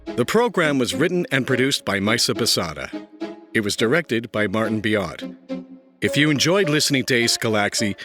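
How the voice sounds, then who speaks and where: A man with a medium-pitched voice reads scripted credits as an announcer. Music plays prominently behind him. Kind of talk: scripted narration.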